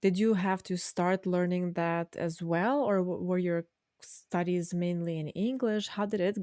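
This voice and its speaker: A woman's voice: fairly low pitch, fairly even intonation, a little slow, a little mellow, no echo, clean studio sound. A woman hosting a podcast, asking her former student curious, prompting questions. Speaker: podcast host and former teacher. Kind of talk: interviewing a guest.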